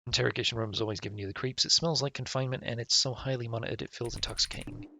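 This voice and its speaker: A man's voice: medium pitch, fairly even intonation, very fast, vocal fry, pushed and bright, slight background noise. A man live-streaming a game, reading its text aloud and adding casual, sweary, offhand comments, with game music behind him. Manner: casual, profane, offhand asides.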